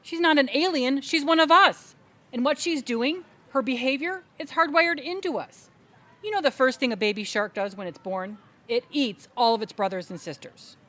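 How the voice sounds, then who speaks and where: A woman's voice: high voice, normal speed, a bit bright. A woman playing an indignant, crusading adult sister in a comedic audio play, speaking in a dramatic, emphatic, speechifying way. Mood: grave, intense. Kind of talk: comedic audio theatre acting.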